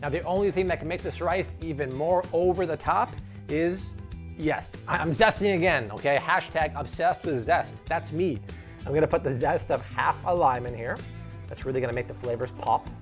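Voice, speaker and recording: A man's voice: a little high, moderate pace, faint music behind. Upbeat Chicago man hosting a cooking show. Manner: upbeat, playful, salesy. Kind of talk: energetic cooking demo. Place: home kitchen, indoors.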